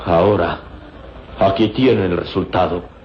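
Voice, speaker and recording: A man's voice: sits low, measured pace, a little hiss or hum. An actor playing an impulsive, hot-tempered man who speaks insistently in an old radio drama. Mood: bitter, accusing. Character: impulsive, accusing male ally, hot-tempered. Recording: roomy, dull old radio recording.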